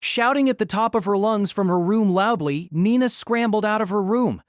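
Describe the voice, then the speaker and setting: A man narrating a novel in an audio drama, steady storytelling with lively inflection. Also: sits high, moderate pace, dry recording, clean studio sound.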